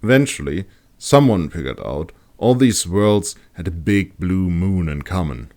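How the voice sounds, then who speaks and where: A man's voice: low voice, expressive, unhurried, darkish tone. A man playing a well-read, plain-spoken male ranger in a fantasy audiobook, explaining matter-of-factly. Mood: modest, wry.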